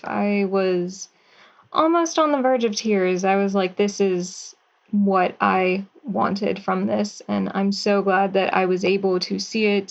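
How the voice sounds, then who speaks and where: A young woman in her twenties, a writer and actor, giving soft, thoughtful answers as an interview guest over a video call. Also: mid-range voice, highs cut off.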